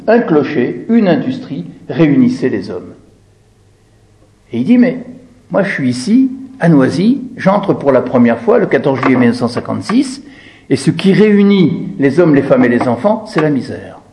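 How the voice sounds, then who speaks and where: A man lectures in a fairly high, mellow voice with very animated intonation. He explains step by step in a didactic way, asking rhetorical questions and answering them himself. Key phrases come back repeated for emphasis. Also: rough recording. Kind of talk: explanatory talk, commenting on quoted text.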